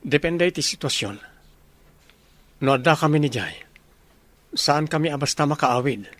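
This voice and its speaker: A man's voice: on the high side, animated, moderate pace, fairly bright tone, no echo. A man playing a dutiful young army officer and devoted son in a radio drama. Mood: earnest, concerned.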